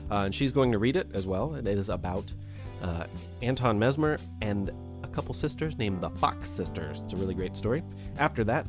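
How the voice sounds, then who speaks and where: A man hosting a show, giving a casual spoken introduction. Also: lowish voice. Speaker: podcast host. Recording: music playing behind the voice. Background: plucked guitar music.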